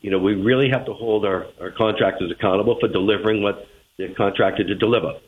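Male radio guest, Boston accent, lowish voice, official answering questions down a thin phone line. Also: fairly even intonation, fast talker. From Boston, United States. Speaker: transit agency general manager, interview guest. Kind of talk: spoken radio interview answers. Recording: narrow tinny phone line.

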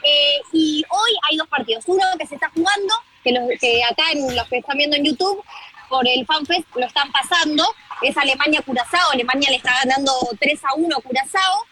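Argentine woman, field reporter, high voice, bright tone, brisk, faint line noise. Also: expressive, poor audio. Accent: Argentine Spanish. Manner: brisk, informative, frequent filler "eh". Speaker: reporter covering the tournament.

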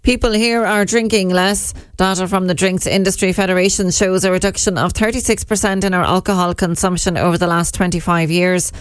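A woman with an Irish accent reading a radio news bulletin in a measured, even way at a studio microphone. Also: fairly low pitch, smooth, no echo. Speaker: newsreader.

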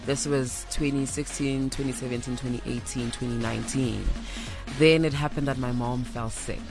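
A young South African woman with a deep voice, talking candidly and playfully as an interview guest, slipping into Zulu at times. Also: fairly even intonation, moderate pace, music in the background, rough recording. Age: young adult. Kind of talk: casual radio interview, storytelling.

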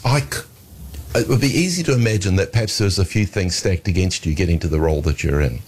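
Deep-voiced male interviewer, wry questions. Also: quick pace, darkish tone, breathy edge.

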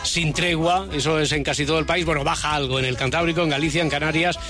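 Spanish male radio host, quick banter, a bit high-voiced, music underneath. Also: steady tone. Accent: Spanish (Spain). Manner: brisk, bantering. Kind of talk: casual radio chat.

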